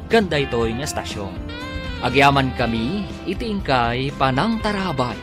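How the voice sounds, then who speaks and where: A man announces and narrates a radio serial with dramatic, scripted delivery. His medium-pitched voice swings widely up and down over prominent music. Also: lively intonation, normal speed.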